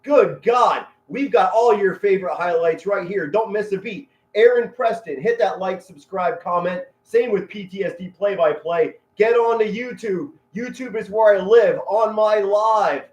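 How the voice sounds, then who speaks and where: High-voiced man commentating from an echoey room. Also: unhurried, hardly any pauses, darkish tone. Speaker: live sports play-by-play streamer and host. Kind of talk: loud, excitable fan commentary mixed with chat. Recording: roomy, echoey, dull lo-fi stream audio.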